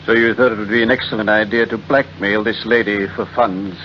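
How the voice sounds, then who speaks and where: A man's voice: fairly low pitch, fairly even intonation, brisk, natural voice. Man acting a stern protective friend, dull recording. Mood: stern scorn. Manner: stern, blunt, scornful. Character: indignant, protective male friend of a blackmailed woman. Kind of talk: 1940s radio acting. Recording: dull, noisy old radio recording.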